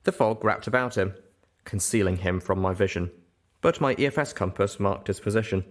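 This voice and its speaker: A man narrates an audiobook in a fairly low voice. He reads scripted first-person story prose, as a storyteller would. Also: a little fast.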